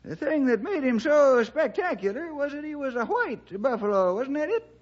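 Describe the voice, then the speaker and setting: Folksy old cowboy sidekick, rural Western American, very high swooping voice, vintage radio drama. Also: male voice, big pitch swings, normal speed. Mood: puzzled. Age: older. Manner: folksy, drawling dialect speech. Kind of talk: 1960s radio drama acting. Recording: dull vintage radio recording.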